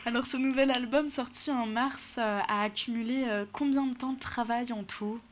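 A woman's voice: fairly high pitch, fairly even intonation, normal speed. A woman interviewing a musician, asking short, friendly questions.

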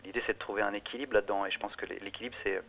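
A man's voice: on the low side, fairly even intonation, very fast, creaky, fairly bright tone, rough recording. A middle-aged man, a musician, answering interview questions in a hesitant way, with many restarts and fillers. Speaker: musician guest.

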